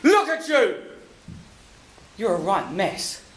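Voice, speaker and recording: An actor playing a bullying, contemptuous husband who taunts his wife and breaks into shouting. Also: high voice, big pitch swings, takes it slowly, a little hiss or hum, heavily acted. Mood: sneering. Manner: sneering, taunting, explodes into shouting. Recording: roomy echo.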